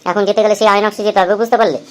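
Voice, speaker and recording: Casting: male actor as thirties husband for comedy cartoon, quick delivery, chatty, thrifty, coaxing and teasing. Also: sits high, fairly even intonation, natural voice. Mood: exasperated. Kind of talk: amateur comedy cartoon dialogue.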